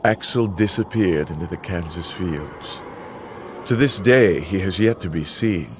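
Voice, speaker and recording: An actor playing a sinister contest master in a video game, narrating dramatically in a theatrical, grandiose, measured manner. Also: male voice, sits low, noisy background. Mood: ominous storytelling.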